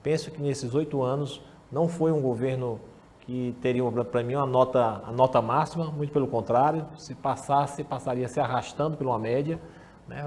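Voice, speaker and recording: A man's voice: medium pitch, darkish tone, slight background noise. A man, a professor giving emphatic political commentary on a talk show, often repeating words as he builds his point.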